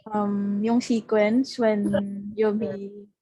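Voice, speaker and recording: A woman's voice: mid-range voice, level tone, very slow, mellow, a little hiss or hum, low-quality recording. A woman with a Filipino accent giving a group casual instructions on a video call, polite and hesitant.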